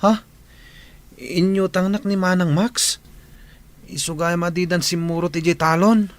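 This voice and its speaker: A man's voice: high voice, steady tone, measured pace. A man playing an earnest, baffled young village son in a radio drama. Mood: puzzled, earnest.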